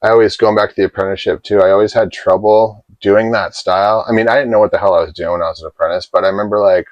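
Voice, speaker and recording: A man's voice: lowish voice, fairly even intonation, rapid-fire, no room sound, highs cut off. A man from Boston with a faint Boston accent, a tattoo artist guest telling stories in a rambling, filler-heavy way. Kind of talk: casual storytelling interview.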